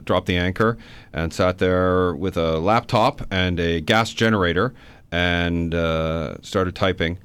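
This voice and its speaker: A man with a low, smooth, resonant voice speaks as a guest in a radio studio. He talks in a relaxed, halting way, with plenty of "uh"s. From Canada. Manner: relaxed, hesitant, many fillers. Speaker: author guest. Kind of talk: radio interview.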